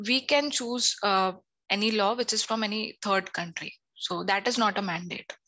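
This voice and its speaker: A woman's voice: medium pitch, moderate pace, bright, forceful tone, poor audio. A young woman from India on a headset video call, explaining law hesitantly with many fillers. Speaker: legal analyst guest.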